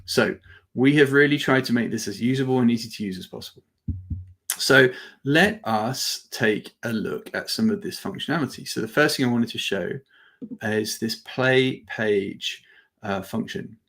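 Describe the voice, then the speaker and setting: Man presenting, halting and informal. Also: medium pitch, fairly bright tone. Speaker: company presenter and webinar host. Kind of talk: informal product demo in a live webinar.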